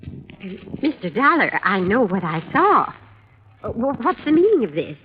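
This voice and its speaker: A woman's voice: medium pitch, very animated, normal speed, close to an everyday voice. An actress playing a fussy, insistent middle-aged woman witness in a 1950s radio drama, prim and emphatic, growing defensive. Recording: dull lo-fi old radio broadcast with hiss.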